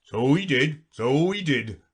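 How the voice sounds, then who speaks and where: A man voicing the Gryphon, a blunt, impatient storybook beast who speaks loudly and scolds. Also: medium pitch, animated, slow pace, slightly rough, pitched above the performer's own voice. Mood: gruff. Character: gruff brusque male Gryphon, impatient.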